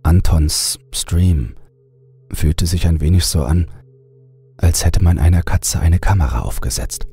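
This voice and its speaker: A man narrating a scripted horror story with a husky, raspy voice. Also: low-pitched, a little slow, mellow, soft background music.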